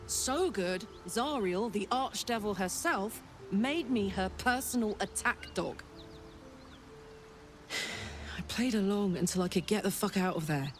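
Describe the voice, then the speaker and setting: A woman's voice: mid-range voice, expressive, a little slow, lightly acted. Woman doing a brash lady warrior from a video game, sweary, cocky, takes her time, faint music behind. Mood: defiant. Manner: swaggering, blunt, sweary, informal. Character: brash, fierce female warrior, escaped soldier of hell. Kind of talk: video game character acting.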